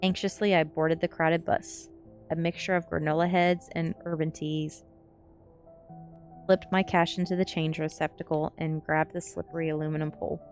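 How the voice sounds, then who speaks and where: A woman's voice: deep voice, little pitch movement, normal speed. A woman streamer reading a novel aloud in a cozy ASMR storytelling style, with casual welcoming asides and the odd stumble over words. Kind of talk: ASMR storybook narration with casual chat to listeners. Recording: music behind the voice. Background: music.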